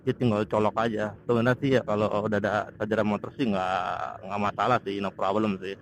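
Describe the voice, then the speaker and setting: A man's voice: fairly low pitch, flat delivery, fairly quick. A man, rambling casually and searching for words as he vlogs from a motorcycle. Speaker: motovlogger. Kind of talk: casual vlog chat while riding. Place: outdoors on a motorcycle on the road. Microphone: helmet intercom microphone over Bluetooth. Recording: noisy wireless helmet intercom microphone outdoors. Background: wind and road noise.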